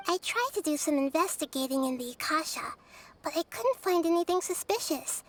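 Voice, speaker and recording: A woman voicing a wise, humble young girl deity in a video game, speaking softly and earnestly in measured, formal phrases. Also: sits high, natural voice. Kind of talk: scripted video game character dialogue. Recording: music under the voice.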